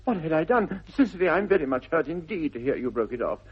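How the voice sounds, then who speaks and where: An actor with a British accent playing a smitten, flustered upper-class young Victorian gentleman in a 1960s radio comedy, hemming and gushing in a plummy manner. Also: a little high, sing-song, fast talker, slightly rough. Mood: wounded, adoring. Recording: dull vintage 1960s radio recording.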